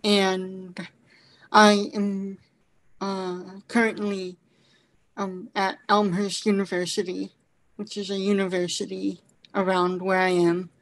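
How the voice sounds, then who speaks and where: Young guy in his twenties, slow and flat, careful intro. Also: high-pitched, rough recording. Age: 20s. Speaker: panelist, college student. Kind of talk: introducing himself on a video call.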